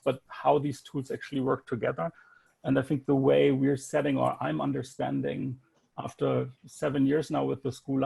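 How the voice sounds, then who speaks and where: A man with a German accent, an architect giving a halting panel talk on a video call, with many fillers and restarts. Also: medium pitch, creaky, mellow, breathy edge. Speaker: graduate director, architect. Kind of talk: panel presentation.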